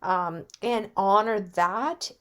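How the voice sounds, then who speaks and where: Slow, candid woman speaking informally. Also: medium pitch, pushed and bright. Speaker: guest speaker, wellness business owner. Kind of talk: informal personal talk.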